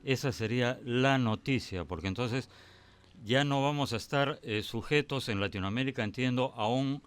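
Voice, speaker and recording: Presentador de voz media y bromista. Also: male voice, mid-range voice, normal speed, clean tone. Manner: conversational, interjecting, joking banter. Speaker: talk-show host. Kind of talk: political talk-show discussion.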